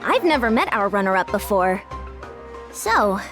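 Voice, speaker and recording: A woman's voice: mid-range voice, very animated, measured pace. A woman voicing a bubbly, confident young female athlete in a video game, full of exclamations. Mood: curious. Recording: loud music behind voice.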